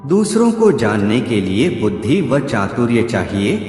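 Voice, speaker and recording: Echoey, expressive male quote narrator. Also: mid-range voice, a little slow. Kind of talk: scripted motivational quote narration. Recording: echoey hall reverb with background music. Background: music.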